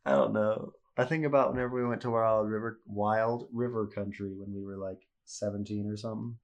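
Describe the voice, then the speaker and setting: A man's voice: lowish voice, fairly even intonation, a little fast, creaky, muffled recording. A young man chatting casually as a podcast guest, laid-back and full of "like" and "you know", with a stuffy nose. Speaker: podcast guest, host's husband. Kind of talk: casual chat.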